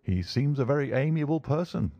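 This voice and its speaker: A man voicing a calm, courteous gentleman detective with a bland, imperturbable and dryly amused manner in a storybook reading. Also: on the low side, moderate pace, dry recording, muffled recording.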